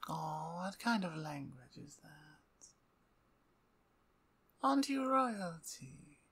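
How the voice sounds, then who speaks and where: Woman doing a gloating vampire lady for asmr roleplay, low creaky breathy voice, taunting and mock polite. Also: sing-song, fairly bright tone, full character voice. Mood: mocking, triumphant. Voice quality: breathy, husky. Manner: slow, taunting, mock-courteous, breathy half-whispers. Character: menacing, gloating female vampire usurper, playfully dominant. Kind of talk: ASMR audio roleplay, dramatic monologue.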